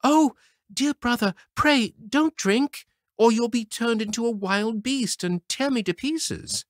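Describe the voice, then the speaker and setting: A man doing a high anxious little girl. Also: takes it slowly, no room sound, studio quality. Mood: pleading. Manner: pleading, lilting. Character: young girl, gentle and anxious. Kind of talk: storybook reading.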